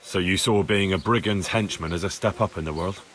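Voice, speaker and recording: An actor playing a young, firm and blunt medieval agent of a lord in a video game cutscene, speaking plainly and assertively. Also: male voice, low voice, a little fast, a bit bright. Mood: stern. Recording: echoey hall reverb, noisy background.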